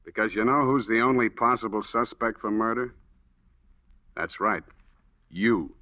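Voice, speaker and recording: An actor playing a blunt, sardonic, skeptical police detective in 1940s radio style. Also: male voice, on the low side, a little slow, pushed and bright, dry recording. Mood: warning. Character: skeptical, hard-boiled male police detective. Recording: dull, boxy old radio recording.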